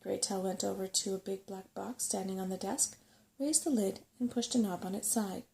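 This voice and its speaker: A woman reads a bedtime story aloud in a soft-spoken ASMR manner. Her voice is mellow and creaky at the edges, with a gentle, unhurried delivery. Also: medium pitch, fairly even intonation.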